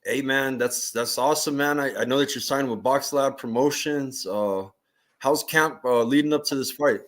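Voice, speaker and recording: Casual man hosting, mid-pitched voice. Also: moderate pace. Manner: slangy, informal fan-like address. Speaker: boxing show host. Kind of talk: casual interview chat.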